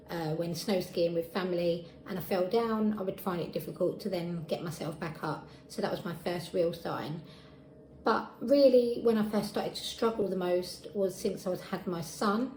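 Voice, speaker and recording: A woman's voice: lowish voice, dark tone. A woman in her thirties with a southeast English accent, giving a personal account as a patient. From Essex, England. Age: 30s. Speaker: patient sharing experience. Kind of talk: personal testimony to camera. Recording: echoey room with faint background noise.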